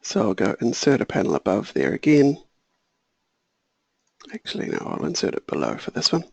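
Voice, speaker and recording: Man, gravelly husky voice, slightly hoarse, software demo, dry close mic. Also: lowish voice, moderate pace, no room sound. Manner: relaxed, thinking aloud, small self-corrections. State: slightly hoarse, clearing throat. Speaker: software trainer. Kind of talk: screen-share software tutorial.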